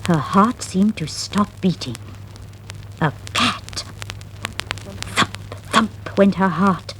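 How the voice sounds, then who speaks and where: A woman narrating a scripted children's fable in a dramatic, expressive storytelling style. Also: medium pitch. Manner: dramatic, expressive, deliberate phrasing. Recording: noisy 1960s vinyl record.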